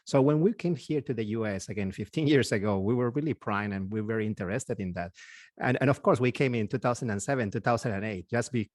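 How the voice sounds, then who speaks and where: Peruvian-accented man, low voice, dry sound. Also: no room sound. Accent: Peruvian Spanish. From Peru. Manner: halting, repeats words, laughs often. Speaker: guest, physician and investor. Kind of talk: casual interview chat.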